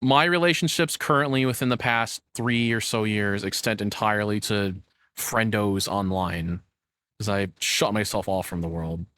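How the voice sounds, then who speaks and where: A man with a lowish voice talks off the cuff as a streamer reacting to a video. He rambles in a blunt, casual way. The sound is dry, with very little room to it. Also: moderate pace, a bit bright, dry recording.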